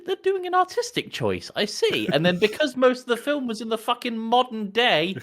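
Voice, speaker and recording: A man's voice: very high-pitched, very animated, moderate pace, fairly bright tone. A man co-hosting a podcast in casual comedic chat, joking in an incredulous, sweary way. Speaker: podcast co-host. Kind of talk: casual comedic banter about a film.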